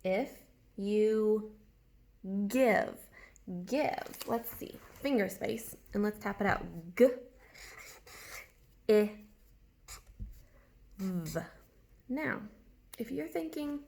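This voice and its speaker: A woman's voice: mid-range voice, expressive, extremely slow, gravelly, pauses often, darkish tone, slight background noise. A woman teaching a writing lesson to young children, guiding them step by step with questions and spelling words out. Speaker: early elementary teacher.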